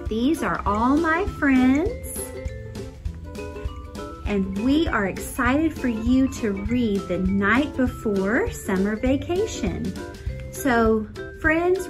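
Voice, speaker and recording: High, animated kindergarten teacher, woman. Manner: encouraging, repeats children's answers clearly. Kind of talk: addressing a class of young children. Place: indoor kindergarten classroom. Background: music.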